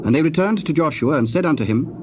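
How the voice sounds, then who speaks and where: A man's voice: a little high, lively intonation, fast. A man narrating dramatized scripture in a solemn, formal storytelling manner. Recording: dull, noisy old cassette tape.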